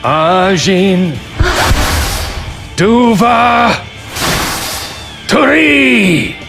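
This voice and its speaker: A man playing a cocky, menacing enemy fighter in a game, with a taunting, drawn-out, theatrical delivery. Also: high voice, expressive, vocal fry, music in the background, full character voice. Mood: fierce. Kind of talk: dramatic game cutscene acting.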